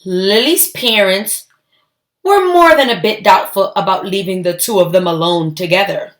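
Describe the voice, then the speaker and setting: A woman reading a children's story aloud, expressive and emphatic, with deliberate phrasing. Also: mid-range voice.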